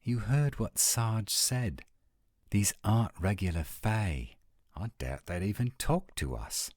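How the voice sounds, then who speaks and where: A man's voice: lowish voice, lively intonation, takes it slowly, darkish tone, dry recording, studio quality. A man playing a testy, headstrong werewolf, terse and challenging, in an audio roleplay drama. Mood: incredulous, reluctant. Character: testy, headstrong male werewolf, protective of his pack.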